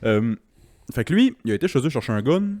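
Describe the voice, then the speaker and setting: A man's voice: mid-range voice, big pitch swings, a little fast, darkish tone, no room sound. Joking Quebecois man, dry close sound. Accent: Quebec French. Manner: loose, joking, swears casually. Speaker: podcast host. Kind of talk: casual comedic chat. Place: podcast studio.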